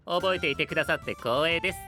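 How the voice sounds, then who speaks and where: A man's voice: fairly high pitch, fast, sharp tone, faint music behind. A man playing a sly young knight with courteous wording and a smug, teasing manner in an anime-style audio drama.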